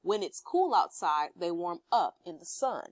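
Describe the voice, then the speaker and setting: A woman teacher reading an informational text aloud to pupils and explaining it, pausing to check understanding with questions. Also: on the low side, big pitch swings, dry recording.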